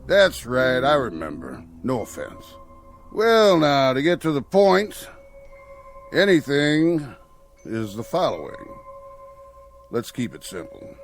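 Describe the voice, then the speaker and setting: Folksy, sly outlaw, lively pitch. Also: male voice, takes it slowly, pauses often, faint music behind, full character voice. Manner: folksy, colloquial cowboy talk. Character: folksy, sly Old West outlaw, cheerfully in charge. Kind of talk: radio drama acting.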